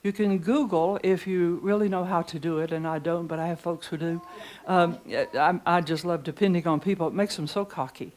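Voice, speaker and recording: An older woman with a deep voice leading a chatty preaching workshop in a conference room, reading hymn lyrics slowly. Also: fairly even intonation, darkish tone. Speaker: pastor teaching preachers. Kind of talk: informal preaching workshop talk. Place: conference room with seated audience.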